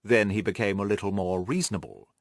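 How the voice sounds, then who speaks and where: A man reading the part of a shrewd, self-assured gentleman detective, precise and methodical, in an audiobook. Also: lowish voice, quick pace, gravelly, no room sound, clean studio sound, voice pushed down. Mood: brisk, satisfied.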